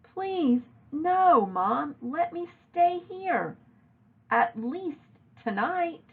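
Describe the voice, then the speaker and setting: Woman voicing a pleading, high-pitched young girl. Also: very animated, very slow, full character voice. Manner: exclaiming and pleading. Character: pleading, emotional young girl, loyal and earnest. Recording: narrow, phone-like lo-fi audio.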